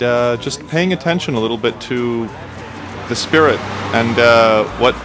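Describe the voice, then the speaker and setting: A man in his early thirties answers questions in a casual, conversational way. His voice sits fairly low, and loud music plays behind him. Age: early 30s. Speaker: fitness influencer answering viewer questions.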